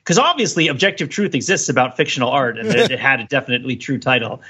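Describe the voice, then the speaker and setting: A man's voice: medium pitch, animated, fast talker, bright, forceful tone, slightly rough. A man co-hosting a podcast, casually discussing films with jokey mock-serious analysis. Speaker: podcast co-host.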